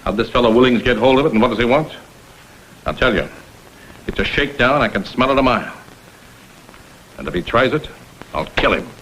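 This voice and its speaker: A man's voice: mid-range voice, a little fast, long pauses, fairly bright tone, natural voice. An actor playing a middle-aged, self-made father in an old crime drama, fiercely protective, blunt and threatening. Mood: grave, troubled, then menacing. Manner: blunt, emphatic, tough-talking. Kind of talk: vintage American television crime drama acting. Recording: faintly noisy vintage film soundtrack.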